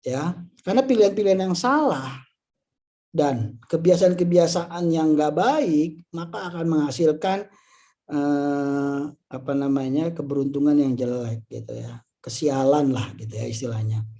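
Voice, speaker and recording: A man's voice: fairly high pitch, unhurried, gravelly, dark tone. A man of about fifty with an Indonesian accent gives an informal motivational talk, conversational and full of tag phrases and rhetorical questions. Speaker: insurance agency leader and motivational speaker.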